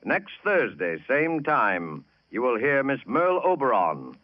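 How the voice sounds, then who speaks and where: A man's voice: medium pitch, huge pitch swings, unhurried, no room sound. A man making formal, dramatic scripted announcements as a 1940s radio program announcer. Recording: muffled old radio, loud music.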